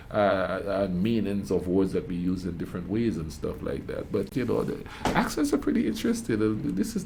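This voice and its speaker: A man with a Grenadian accent, hosting a reaction video and telling lively stories in casual chat. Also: lowish voice, slight background noise, poor audio. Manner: animated storytelling with laughs.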